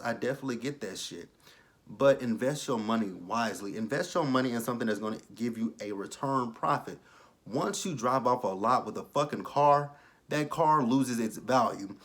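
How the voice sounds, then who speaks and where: A young man giving a casual, blunt and profane recap of a reality show, rambling into preachy asides. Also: medium pitch. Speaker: YouTube reality-TV reviewer.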